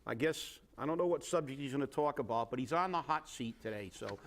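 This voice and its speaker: A man hosting a local talk show, speaking haltingly with many fillers and restarts. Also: medium pitch, expressive. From Fall River, Massachusetts. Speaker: host.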